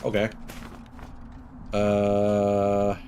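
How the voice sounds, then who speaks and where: Slow, low-pitched man streaming a game. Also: completely flat delivery, warm tone, slight background noise. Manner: halting, thinking aloud. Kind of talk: casual live gameplay commentary.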